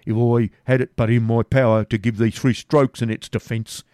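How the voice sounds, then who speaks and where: Male narrator as a dogged, surly servant; dark low tone, dry sound. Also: little pitch movement, normal speed, no room sound, lightly acted. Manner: dogged, grumbling.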